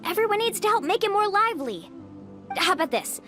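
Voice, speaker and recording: An outgoing young female guide in a video game. Her voice is high and lively, with an upbeat, encouraging manner, over soft music. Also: brisk, full character voice. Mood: upbeat, playful.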